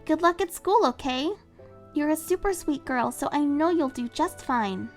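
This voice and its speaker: A woman voicing a cheerful, eager teenage girl heroine who talks in a bubbly, excitable way. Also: high-pitched, moderate pace, faint music behind, pitched above the performer's own voice. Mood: upbeat.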